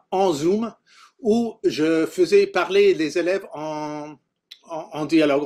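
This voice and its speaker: A man from Canada, a native English speaker with a mid-Atlantic English accent in his French, leading an informal teaching workshop and often pausing to search for words. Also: on the high side, a little mellow. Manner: halting, searching for words in a second language.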